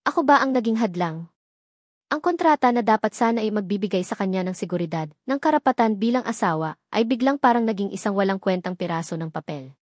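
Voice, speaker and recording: A woman storyteller reading a dramatic romance aloud, steady and scripted. Her voice sits at a middle pitch and is recorded very dry and close, with no room sound. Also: fast, no room sound.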